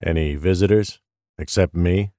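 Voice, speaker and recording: Guy acting as a grim old cop in an audio drama, real deep voice, measured, dry sound. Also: warm tone, dry recording, lightly acted. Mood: flat, probing. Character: grim, weary old police detective near retirement.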